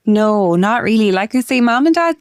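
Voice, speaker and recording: An Irish woman in her late twenties, a guest in an interview, talking in a chatty way full of "like" and quick asides. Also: fairly high pitch, expressive, clean tone, no echo, clean studio sound. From Ireland. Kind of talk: conversational interview.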